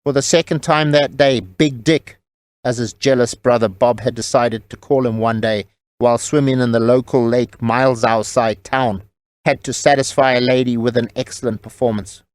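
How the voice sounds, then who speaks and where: A man's voice: medium pitch, fairly even intonation, normal speed, dry recording. A man reading scripted novel narration as the storyteller of an audio drama.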